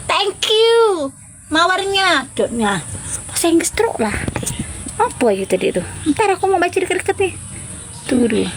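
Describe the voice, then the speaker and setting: A woman's voice: sits high, a bit bright. A woman chatting casually and informally with viewers as the host of a live stream. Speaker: livestream host.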